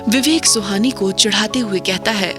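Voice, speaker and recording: A woman narrates an audio drama in a steady storytelling manner. Her voice sits mid-range. Faint music plays behind her. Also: mid-range voice, moderate pace. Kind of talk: scripted narration.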